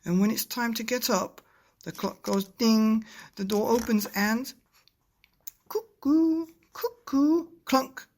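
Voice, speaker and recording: A man voicing an excitable, eager little girl in a children's storybook, breathless and emphatic. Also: extremely high pitch, slow pace, slight background noise, pitched above the performer's own voice, full character voice. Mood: delighted, amazed.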